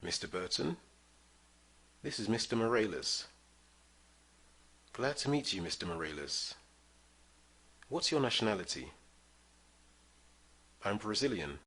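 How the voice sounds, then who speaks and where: A man reads simple English greetings and phrases for beginners, pausing so learners can repeat. His delivery is slow and deliberate with a lively rise and fall, and his voice is bright with a faint husky edge. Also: on the low side. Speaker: English teacher. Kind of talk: slow scripted language-lesson reading.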